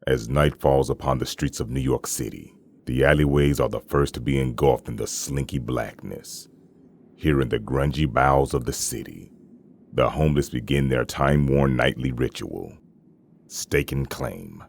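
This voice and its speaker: A male narrator with an extremely low, creaky voice reads dramatic comic-book narration. He tells it in a measured, ominous way, as scripted storytelling.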